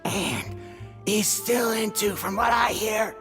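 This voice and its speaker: A tipsy, loose-lipped businessman in a video game. He rambles slowly and slurs, with a very animated pitch and frequent chuckles. The voice rings in a long reverb over music. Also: heavily acted. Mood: gossipy, tipsy. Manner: rambling, exclaiming, breaking into chuckles. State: drunk, slurring and giggly.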